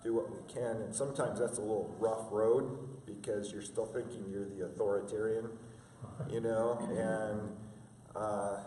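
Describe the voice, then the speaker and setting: A man in his fifties, a father, reflecting in a halting, filler-heavy way. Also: on the low side, steady tone, dark tone, slight background noise. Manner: halting, many fillers and restarts. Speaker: interview guest, father. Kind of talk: reflective conversational interview. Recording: echoey room from a distance.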